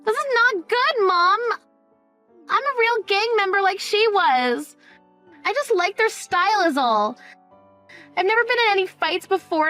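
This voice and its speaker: Lady doing a scared whiny teen girl in a story, really high voice, stammers, faint music behind. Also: sharp tone. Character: frightened, pleading teenage daughter, nearly eighteen. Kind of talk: dramatized story dialogue.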